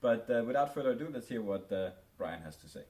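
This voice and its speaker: A man's voice: mid-range voice, expressive, fast, darkish tone. A man hosting an interview, introducing his guest casually with a light joke and fillers. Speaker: host, interviewer.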